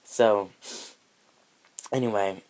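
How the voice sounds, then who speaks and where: A man's voice: fairly low pitch, steady tone, very slow, a little mellow, poor audio. A man casually reviewing a video game, rambling and hesitant, often correcting himself, and sounding slightly nasal from allergies. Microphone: handheld camera mic.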